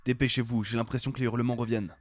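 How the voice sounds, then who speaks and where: A man's voice: medium pitch, flat delivery, racing, no echo, natural voice. A man playing a blunt, cautious and practical adventurer in an amateur audio fiction. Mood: urgent.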